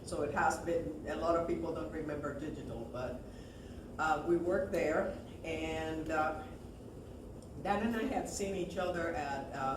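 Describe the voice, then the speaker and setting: A mature woman telling personal stories at a memorial in an informal, hesitant, rambling way. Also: low-pitched. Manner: hesitant, rambling, many pauses. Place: large room at a memorial service. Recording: echoey, noisy room, far from microphone.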